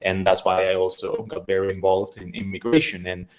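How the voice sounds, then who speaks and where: Immigration consultant: a man from Argentina, fairly low voice, halting delivery with many fillers. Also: flat delivery, poor audio. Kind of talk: self-introduction on a webinar.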